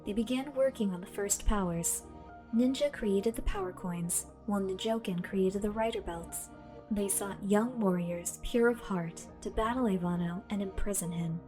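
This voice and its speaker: A woman voicing a calm, all-knowing female artificial intelligence that lives in a hero's belt, speaking in a measured, formal way. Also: medium pitch, natural voice. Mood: solemn. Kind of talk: fan audio drama. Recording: music bed underneath.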